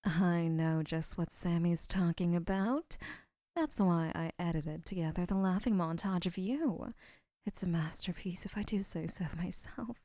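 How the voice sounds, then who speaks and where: A woman playing a doting, obsessive young woman who keeps the listener, speaking in a soft, whispery, sweetly possessive way in an audio roleplay. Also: fairly low pitch, measured pace, no echo, natural voice.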